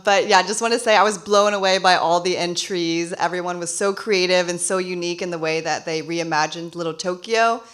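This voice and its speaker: A woman's voice: on the low side, a bit bright. A woman speaking as a contest judge at a live event, informal, heartfelt, conversational and effusive.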